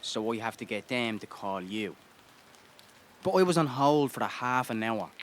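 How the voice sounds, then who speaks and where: A man's voice: mid-range voice, animated, moderate pace, lightly acted. A man acting a desperate homeless Irish father in a radio play, pleading, exasperated and prone to swearing. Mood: pleading, deflated.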